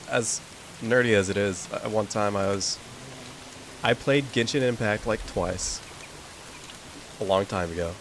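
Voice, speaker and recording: A man's voice: fairly low pitch, measured pace, vocal fry, pauses often. Young man chatting casually over hiss. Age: young adult. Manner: rambling, with many fillers. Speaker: gaming streamer. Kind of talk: casual chat while playing a game.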